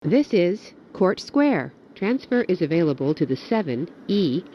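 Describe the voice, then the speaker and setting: A woman giving recorded subway announcements in a formal, clearly phrased way. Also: on the low side, sing-song, a little slow, a little hiss or hum. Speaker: transit announcer. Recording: dull, lo-fi announcement audio.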